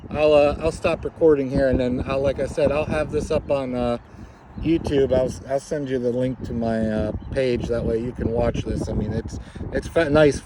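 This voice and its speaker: Halting man on amateur radio, noisy outdoors. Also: medium pitch, fairly even intonation. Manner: hesitant, halting delivery. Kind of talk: casual radio contact chat. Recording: outdoor recording with clear background noise.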